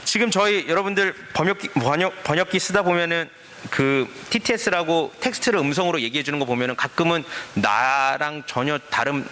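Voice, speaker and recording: Lively, bright-voiced man giving a talk. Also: on the high side. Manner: lively explanatory talk with rhetorical questions. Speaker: forum speaker. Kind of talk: conference presentation. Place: conference stage.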